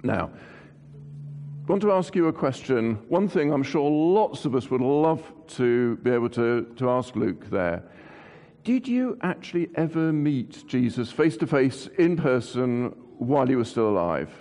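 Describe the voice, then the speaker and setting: Animated male interviewer in an echoey hall. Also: medium pitch, measured pace. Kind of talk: playful scripted-interview chat before an audience. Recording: echoey hall with long reverb.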